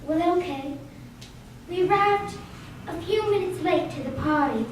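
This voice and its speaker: A woman's voice: very high-pitched, measured pace. A woman playing a prim, restrained young woman in a radio play, in an echoey, noisy recording. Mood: grieving. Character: prim, restrained young woman, grieving her close friend. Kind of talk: amateur radio play acting. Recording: very echoey room, distant mic, clear noise.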